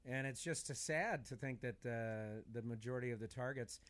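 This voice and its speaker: A man's voice: medium pitch, normal speed, a bit bright. An American man talking casually as a guest on a podcast. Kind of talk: casual chat.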